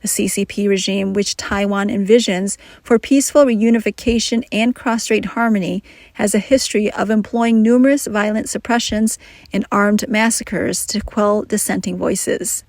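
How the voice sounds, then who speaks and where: A woman narrating a news report in a measured, scripted reading. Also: mid-range voice, steady tone, a little mellow, no echo.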